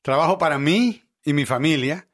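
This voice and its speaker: Brief: Guatemala-born man, talk host, slightly high voice, forceful repeated points, dry studio sound. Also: lively intonation, moderate pace, dry recording. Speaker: talk show co-host, lawyer. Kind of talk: casual radio talk show discussion.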